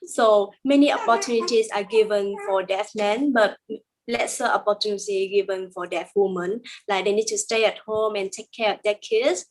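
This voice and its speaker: A woman interpreting a deaf guest's answers aloud in an interview, in plain careful phrasing with frequent pauses and fillers. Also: mid-range voice, steady tone, low-quality recording. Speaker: sign language interpreter voicing a deaf guest.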